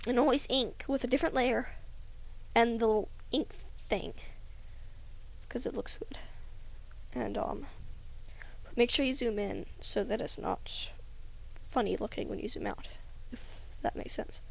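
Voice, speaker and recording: A young woman rambling hesitantly over a drawing tutorial, often trailing off into soft near-whispers. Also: on the high side, unhurried, gravelly, rough recording. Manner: rambling, hesitant, self-conscious, many ums. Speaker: hobby animator.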